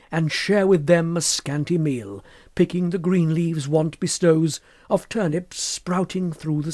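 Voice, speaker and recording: A man reading poetry aloud in an expressive literary style, with measured and deliberate phrasing. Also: a little high, dry recording, clean studio sound. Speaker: poetry reader.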